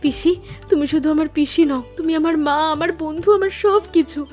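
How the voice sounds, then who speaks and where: A woman's voice: sits high, a little fast, a little mellow, natural voice. A woman voicing a sensitive, apologetic young niece in a cartoon story, earnest, pleading and sentimental. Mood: adoring. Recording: music under the voice.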